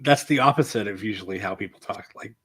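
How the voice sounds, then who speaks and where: A man's voice: fairly low pitch, quick pace, breathy edge, no echo. A man hosting a live ride commentary stream, chatting loosely and laughing easily. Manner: relaxed, laughs easily, loose filler-heavy talk. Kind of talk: casual chat.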